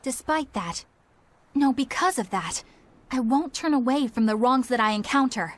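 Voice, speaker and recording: A woman's voice: sits high, lively intonation, normal speed. A woman voicing an earnest, righteous young female exorcist in a video game, formal and sincere. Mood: solemn, sincere.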